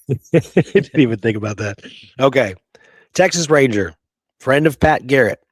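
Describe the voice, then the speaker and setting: A man's voice: medium pitch, lively intonation, a little slow, no room sound. Man talking history casually, dry close sound. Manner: emphatic, conversational, often checking with "right?" and "okay". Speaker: podcast host. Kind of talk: casual history talk from notes.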